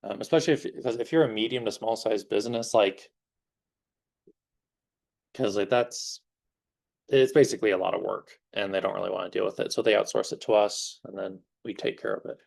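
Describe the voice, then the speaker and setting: Young man, gravelly, low-pitched, slightly worn-out voice, casual rambling class talk. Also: brisk, darkish tone. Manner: casual, rambling, many fillers and restarts. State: slightly losing his voice. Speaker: guest speaker, security engineer.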